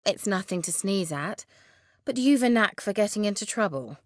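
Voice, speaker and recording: A woman's voice: medium pitch, lively intonation, moderate pace, no echo, studio quality, natural voice. A woman playing a haughty, sly sorceress in a fantasy video game, articulate, wry and teasing.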